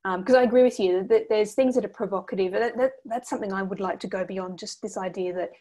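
A woman's voice: mid-range voice, fast, warm tone. Rambling Australian woman curator on a call. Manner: rambling, searching for words. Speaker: exhibition curator. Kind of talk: casual video call chat. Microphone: laptop video call.

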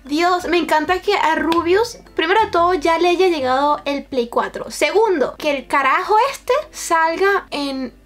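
A woman's voice: very high-pitched, measured pace, few pauses, a bit bright. A woman in her twenties with a Venezuelan accent, chatting casually to camera as a streamer. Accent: Venezuelan Spanish. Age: 20s. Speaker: streamer and YouTuber reacting to memes and streams. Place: small bedroom with shelves, indoors. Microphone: camera-mounted shotgun microphone.

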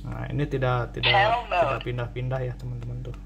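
A man's voice: mid-range voice, flat delivery, a little fast, vocal fry, very noisy. A man giving a casual hands-on tutorial as a repair technician, repeating and rephrasing as he explains. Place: indoor cluttered repair workbench.

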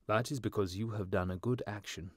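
A man voicing a gentle, sorrowful prince who is a statue, in a storybook reading. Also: lowish voice, steady tone, brisk, husky, no room sound, clean studio sound.

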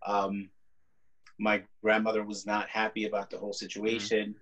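A man in his forties whose first language is Spanish, an artist telling stories on a video call from a room at home, unhurried and quick to laugh. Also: fairly low pitch, low-quality recording. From born in the US, raised partly in Guatemala. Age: 40s. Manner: unhurried, laughs easily, expressive. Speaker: artist guest. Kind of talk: relaxed conversational storytelling. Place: home room with colorful paintings on the wall. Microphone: laptop webcam video call.

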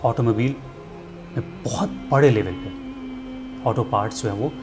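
A man's voice: mid-range voice, unhurried. A middle-aged man, presenting a scripted address to camera in an earnest, persuasive manner rhetorical questions. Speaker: filmmaker and storyteller presenting a commentary segment. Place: indoor studio set. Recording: music bed underneath.

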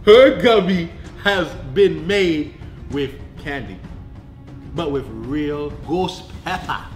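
A man's voice: on the high side, expressive, slow pace. Young man, vlog host, swooping intonation, dramatic exclamations, playful banter, roomy echo with music underneath. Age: young adult. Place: indoor room at home, at a table.

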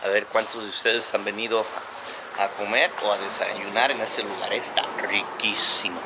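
A man's voice: fairly low pitch, moderate pace. Chatty man filming a food vlog. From Mexico. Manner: chatty, relaxed, savoring food with hums. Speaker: food vlogger. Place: small restaurant, partly open-air. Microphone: handheld camera at arm's length. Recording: loud background noise.

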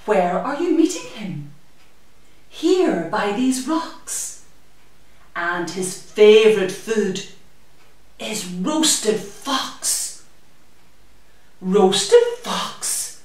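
Slow, animated woman reading in echoey room. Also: medium pitch. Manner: deliberate, sing-song rhythm for young children. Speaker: children's story-time reader. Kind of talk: expressive picture-book read-aloud. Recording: very echoey room, distant microphone.

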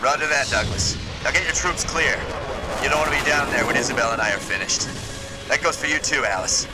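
Male actor as a calm game-cutscene Spartan captain, clipped commands, mid pitch, heavy score behind. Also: brisk, loud music behind the voice, natural voice. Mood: steady. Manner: calm, clipped military orders. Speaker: Spartan soldier leading a mission. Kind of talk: video game cutscene acting.

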